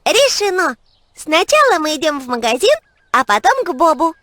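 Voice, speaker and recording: A woman's voice: very high voice, big pitch swings, normal speed, fairly bright tone, no echo, heavily acted. A woman voicing a cheerful, caring little girl heroine in an educational children's cartoon. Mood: caring, instructive.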